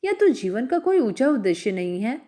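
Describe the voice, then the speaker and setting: A young storybook girl, earnest and defiant, answers with bold scorn, voiced by a woman narrator. Her voice rises and falls with spirit, mellow in tone and pitched a little high. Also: big pitch swings, normal speed.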